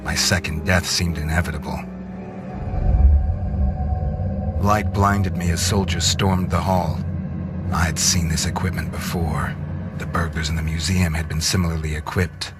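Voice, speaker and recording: Man acting a very deep, brooding vampire antihero. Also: moderate pace, over loud music, natural voice. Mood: grim, recounting. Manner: deadpan, terse, brooding. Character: brooding half-vampire antihero, grim, terse and hard-boiled. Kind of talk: noir-like scripted game narration.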